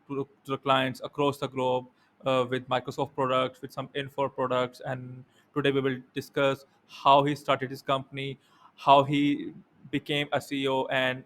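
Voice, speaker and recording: A man who speaks English as a second language, hosting an interview courteously in a halting way with repeated words. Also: mid-range voice, fairly bright tone. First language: not English. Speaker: podcast host, interviewer. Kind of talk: formal conversational interview. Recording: faint background noise.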